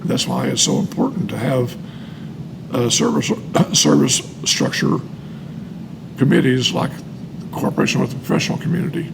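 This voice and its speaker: An older man giving casual, earnest explanations with anecdotes, often pausing mid-sentence. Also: big pitch swings. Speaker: speaker on alcoholism recovery, retired medical worker. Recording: clear background noise with low rumble.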